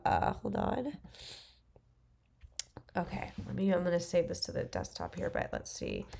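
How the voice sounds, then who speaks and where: A woman with a stuffy nose from the flu, casually talking through a software demo and thinking aloud as she clicks. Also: medium pitch, vocal fry. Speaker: real estate investor. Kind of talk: screen-recorded software walkthrough.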